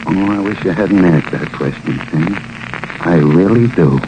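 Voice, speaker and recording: An actor playing a menacing gangster with tough, slangy talk in a 1940s radio crime drama. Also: male voice, deep voice, unhurried. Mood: quietly menacing. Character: menacing male gangster, car smuggler wanted for murder. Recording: muffled, noisy 1940s radio recording.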